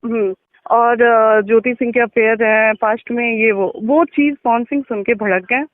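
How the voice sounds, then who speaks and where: A woman's voice: fairly high pitch, fairly even intonation, normal speed, no echo. A woman in a candid personal phone conversation, explaining and justifying herself insistently, often checking that the listener follows. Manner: insistent, repeatedly checking with "okay?". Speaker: interviewee on a phone call. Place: telephone call. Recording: tinny phone line.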